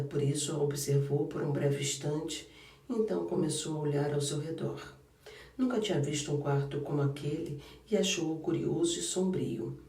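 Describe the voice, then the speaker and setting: A man narrates a classic novel in a calm, measured audiobook style. His voice sits a bit high, with a mellow tone. The recording is roomy and somewhat distant from the mic. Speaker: audiobook reader.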